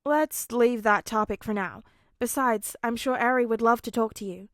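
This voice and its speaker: A woman's voice: fairly high pitch, moderate pace, fairly bright tone, no echo, studio quality, natural voice. A woman voicing a capable, kind but stubborn teenage boy in a storybook reading. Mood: focused, firm. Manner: focused, switching from stern to cheery.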